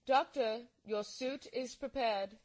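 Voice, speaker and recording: A woman's voice: on the high side, takes it slowly, no room sound. A woman playing a cool, calculated nurse in a horror audio drama. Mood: cool, formal.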